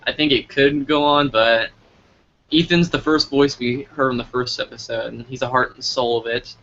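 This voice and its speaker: Bright-voiced American man, noisy lo-fi recording. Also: mid-range voice, steady tone, moderate pace. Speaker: interviewer. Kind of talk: casual interview chat. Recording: lo-fi audio with clear background noise.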